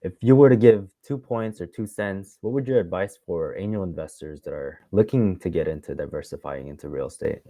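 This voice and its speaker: A man hosting a conversational interview, putting his questions in halting, hesitant phrases. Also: sits low, mellow, no room sound. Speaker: interviewer, show host.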